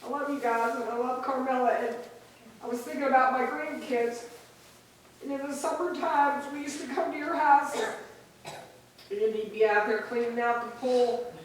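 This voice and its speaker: A middle-aged woman stands up at a memorial service to share halting, heartfelt memories. Her voice sits a little high and reaches the mic from a distance. The church rings with a long echo. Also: fairly even intonation, a little hiss or hum. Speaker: mourner sharing memories. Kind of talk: informal memorial remarks. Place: church sanctuary. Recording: echoey room, mic far off.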